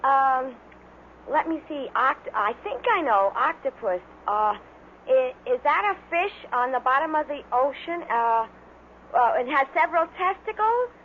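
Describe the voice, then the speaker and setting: A woman playing a naive quiz-show contestant, hesitant and halting. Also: high-pitched, sing-song, fairly bright tone, slight background noise, full character voice. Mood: puzzled. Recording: tinny old radio, audience behind.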